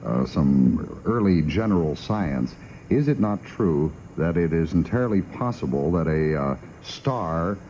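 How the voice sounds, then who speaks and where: A man's voice: deep voice, expressive, measured pace, dark tone. A man hosting a 1960s radio talk program, on an old aircheck recording. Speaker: radio talk show host. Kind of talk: 1960s radio interview and announcing. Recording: dull, faintly noisy 1960s radio recording.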